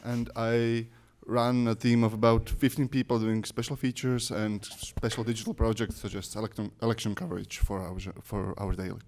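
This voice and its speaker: A man with a Slovak accent speaks to a group in a fairly low voice. He presents himself and his work as an editor. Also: little pitch movement, normal speed, few pauses, a little mellow. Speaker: deputy editor-in-chief. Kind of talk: self-introduction to an audience.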